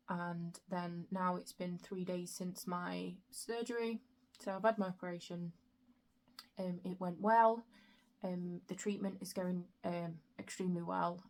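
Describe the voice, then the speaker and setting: Soft, flat-voiced woman with a croaky throat. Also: on the low side. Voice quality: soft, unhurried, with frequent "um" and "like" fillers. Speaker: book vlogger. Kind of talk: casual reading vlog chat.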